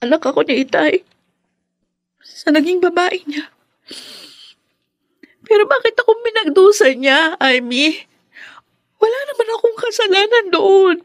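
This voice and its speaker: A wounded young woman in a radio melodrama. Her voice is high and plaintive, heavy with sorrow. The sound is dry and close. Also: animated, a little slow, pauses often, dry recording, full character voice. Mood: sorrowful, hurt. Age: young adult. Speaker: radio drama actor. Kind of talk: Tagalog radio drama acting.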